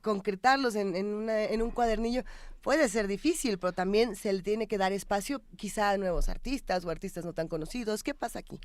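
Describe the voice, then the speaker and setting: A woman with a Mexico City accent and a medium-pitched voice in a very dry recording. She speaks as a broadcast host, conversationally leading an interview. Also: brisk, no room sound. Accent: Mexico City Spanish. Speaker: magazine show host, interviewer.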